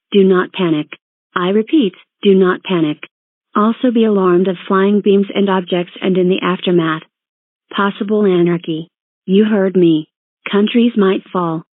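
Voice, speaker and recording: A woman's voice: medium pitch, dry recording. Tinny phone-band woman announcing emergency alerts. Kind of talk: scripted emergency broadcast announcement.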